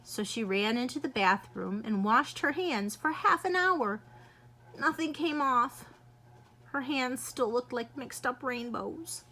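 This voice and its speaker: A woman reading a children's picture book aloud in an expressive, lively way. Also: high-pitched, takes it slowly. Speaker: children's storyteller.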